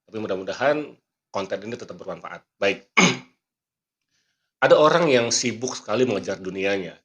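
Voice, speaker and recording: Throat-clearing man giving a motivational talk. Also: on the low side, expressive, normal speed, a bit bright. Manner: preachy, earnest, quotes scripture and sayings. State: coughing, not fully well. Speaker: magician and motivational speaker. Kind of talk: motivational podcast talk with a magic trick demo.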